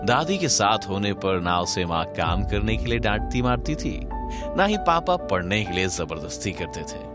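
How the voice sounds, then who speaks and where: A man's voice: lowish voice, sing-song, moderate pace. A man narrating a scripted story in a dramatic, suspenseful storytelling manner. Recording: loud music bed under narration.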